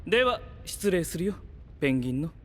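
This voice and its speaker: An actor playing an aloof, analytical young knight with a detached, haughty manner in a Japanese anime voice drama. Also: male voice, a little high, big pitch swings, fairly quick, heavy background noise. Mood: cool, curious. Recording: long hall reverb.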